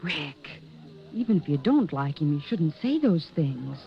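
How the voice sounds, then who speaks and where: A woman's voice: low voice, very animated, unhurried, vocal fry, heavily acted. An exasperated, affectionate girlfriend in a vintage radio detective play. Her voice moves animatedly as she nags and chides, on a dull, band-limited recording with music behind. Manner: nagging, chiding. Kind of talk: old-time radio drama acting.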